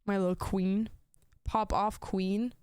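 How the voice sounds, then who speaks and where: A woman's voice: medium pitch, flat delivery, slow, a little mellow, clear, resonant tone, dry recording, studio quality. A young woman streaming, chatting casually, swearing a lot and saying "like" and "bro" constantly. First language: German. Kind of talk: casual chat on a gaming stream.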